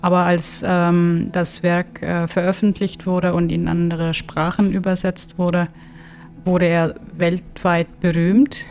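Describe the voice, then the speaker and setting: A woman from Kyrgyzstan, giving halting interview answers as an expert. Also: lowish voice, little pitch movement, darkish tone, faint music behind. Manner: halting, hesitant delivery. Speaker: interviewed expert, legal anthropologist.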